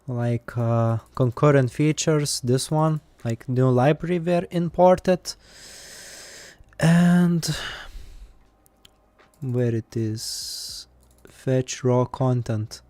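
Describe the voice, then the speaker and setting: Slow-talking Eastern European man. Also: mid-range voice, warm tone, dry recording. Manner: halting, thinks aloud with many fillers. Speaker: streamer. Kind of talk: live coding stream.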